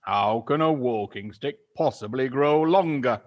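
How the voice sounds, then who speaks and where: A man voicing a cruel, gloating old husband who taunts slowly and mockingly. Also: medium pitch, muffled recording, voice pushed up, lightly acted. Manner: slow, drawn-out and mocking.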